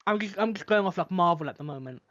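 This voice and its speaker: A man's voice: high-pitched, lively intonation, fast talker, fairly bright tone, dull audio. A man co-hosting a podcast in casual chat, tentatively searching for his words. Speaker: podcast co-host.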